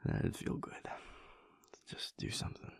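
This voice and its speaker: A man voicing a caring werewolf boyfriend in an intimate audio roleplay, speaking in a soft, hushed, confiding way. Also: unhurried, no echo, whispered, clean studio sound, natural voice. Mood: tender. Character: caring werewolf boyfriend, young adult male.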